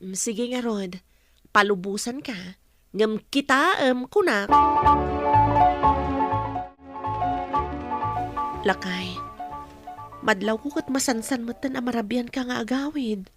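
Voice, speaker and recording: High voice, earnest young wife, Ilocano accent, village produce seller, radio drama, music underneath. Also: female voice, measured pace, fairly bright tone. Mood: concerned, cautioning. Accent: Filipino, Ilocano.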